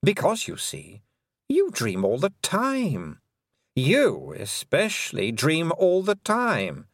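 A man putting on a cruel fairy queen, her voice lifted high and gliding with lively swoops as she purrs sweet, mocking scorn. She is condescending and quietly menacing, the reading close and dry like a storybook told at the mic. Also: takes it slowly, vocal fry, dry recording, full character voice. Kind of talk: audiobook character voice.

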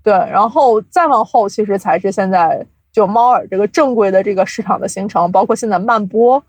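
A woman's voice: mid-range voice, brisk, darkish tone, no echo. Woman chatting as a podcast guest, explains stuff eagerly, drops english words, close dry sound. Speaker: guest, audio drama producer. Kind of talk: casual interview chat.

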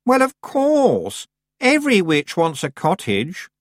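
A man's voice: high voice, huge pitch swings, slow, no room sound, studio quality, pitched above the performer's own voice, full character voice. A man voicing a vain, pushy teenage girl witch in a storybook, with a haughty, emphatic manner. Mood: smug, haughty.

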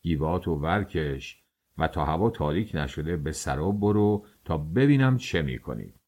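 A man's voice: rumbling low, expressive, a little mellow, pitched below the performer's own voice. A man doing a bossy, teasing master baker. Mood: irritated. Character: middle-aged male master baker, bossy, joking.

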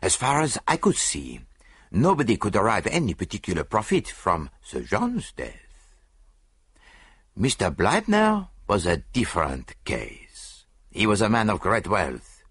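A man voicing a dapper, self-satisfied little foreign detective in an audiobook, speaking precisely and deliberately. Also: lowish voice, wildly swooping pitch, fairly bright tone, husky, no room sound, clean studio sound, full character voice. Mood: grave, explanatory.

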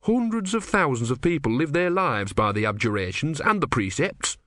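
A man's voice: a little high, sing-song, moderate pace, fairly bright tone, dry recording, clean studio sound, voice pushed up. A man voicing an earnest, devout young believer who is insistent and easily outraged, in a storybook reading. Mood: indignant. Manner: insistent, indignant, pious.

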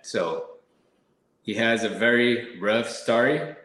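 A man's voice: medium pitch, steady tone, slow pace, a bit bright, roomy sound. A man from Brazil, a podcast guest, talking casually and modestly, often restarting his words. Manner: modest, hesitant, repeats words.

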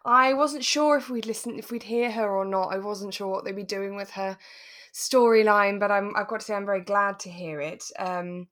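A woman with a British accent, co-hosting a podcast in a relaxed, chatty style. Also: medium pitch, moderate pace. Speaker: podcast co-host.

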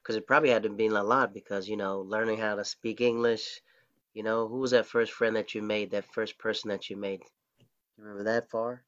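Guy interviewing someone, keeps rewording questions, lowish voice, muffled audio. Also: little pitch movement, fairly quick, a bit bright. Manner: rambling, restarts his questions. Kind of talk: casual interview. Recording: dull lo-fi recording.

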